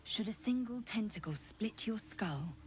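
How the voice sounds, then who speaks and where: A woman's voice: mid-range voice, lively intonation, unhurried, a little mellow, close to an everyday voice. A woman playing a fierce alien warrior in a fantasy game, stern and menacing, with clipped, imperious, formal speech. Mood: stern, threatening. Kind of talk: fantasy video game acting.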